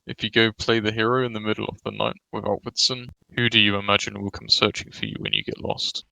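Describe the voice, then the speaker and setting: Elder brother pony played by a man: cautious, chiding, lowish gravelly voice with a tense edge, dry story recording. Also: expressive, fast talker, bright, forceful tone, dry recording, heavily acted, low-quality recording. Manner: level-headed, chiding. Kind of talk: fan fiction audio reading, character voice.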